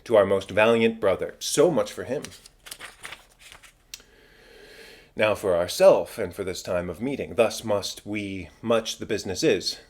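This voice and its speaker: A man performs a middle-aged king from a Shakespeare play. He speaks in a fairly low voice, stately and declamatory. His authority is smooth and formal, as in a dramatic audiobook. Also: moderate pace, close to an everyday voice.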